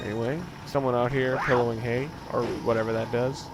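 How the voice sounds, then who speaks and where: A man's voice: medium pitch, little pitch movement, a little fast, loud music behind the voice, low-quality recording. A man giving casual, unscripted video game commentary as a let's play host, rambling into musing, dryly humorous asides. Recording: clear background noise under the voice.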